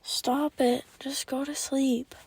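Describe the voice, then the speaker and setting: A woman's voice: high voice, level tone, takes it slowly, darkish tone. A woman playing a skeptical, outwardly bold young friend in an audio play. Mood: sleepy, irritated.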